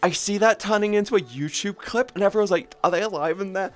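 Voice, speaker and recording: A woman's voice: mid-range voice, quick pace. A woman live streamer chatting casually with viewers in an informal, sweary, rambling way. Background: background music.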